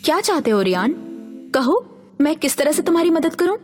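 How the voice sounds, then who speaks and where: A supportive young woman, the caring friend of an animated tale, put on by a female narrator. Her voice is fairly high, questioning and eager to help. Faint music plays underneath. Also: fairly quick. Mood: reassuring. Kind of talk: Hindi animated story voice acting.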